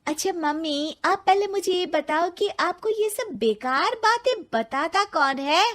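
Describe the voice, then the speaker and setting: A woman voicing a cheeky, defiant college-age daughter who talks back flippantly in an animated story. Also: very high-pitched, measured pace, voice pushed up, exaggerated voice. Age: young adult.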